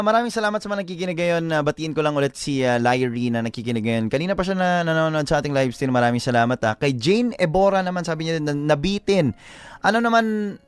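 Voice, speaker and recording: A man's voice: a little high, clean tone, no room sound. A Filipino man co-hosting a live show, chatting casually and giving earnest love advice, repeating phrases for emphasis. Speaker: radio show co-host giving advice to letter senders.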